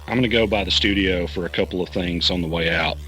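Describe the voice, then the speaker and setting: Wary, low-voiced man, hesitant. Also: fast, a bit bright, rough recording. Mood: practical. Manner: hesitant, questioning. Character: wary, uneasy man caught in a conspiracy. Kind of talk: naturalistic audio drama acting.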